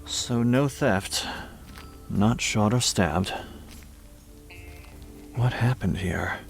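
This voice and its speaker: A man's voice: fairly low pitch, extremely slow, creaky, soft background music, heavily acted. A man playing a terse, brooding police detective in a horror audio drama. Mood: brooding, puzzled. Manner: terse, clipped, brooding sentences. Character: puzzled, brisk male police detective in charge.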